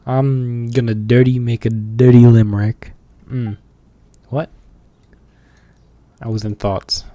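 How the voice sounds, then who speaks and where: A man's voice: mid-range voice, little pitch movement, slow pace, mellow, no echo. A young man giving casual, joking, slangy commentary as he streams a video game.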